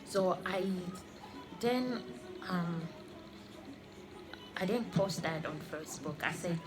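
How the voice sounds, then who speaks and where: Woman, 30s, low-ish voice, earnest halting testimony, clear music bed behind. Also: lively intonation. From Congo, raised in Australia. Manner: earnest, halting, repeats phrases. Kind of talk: personal religious testimony. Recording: music playing under the voice. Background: clear background music.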